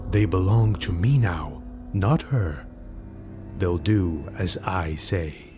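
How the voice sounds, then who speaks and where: A man's voice: sits low, slow, noisy background, voice pushed down. A man voicing a sly, mocking rogue retainer in an audiobook, deferential and insinuating. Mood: assured, deferential.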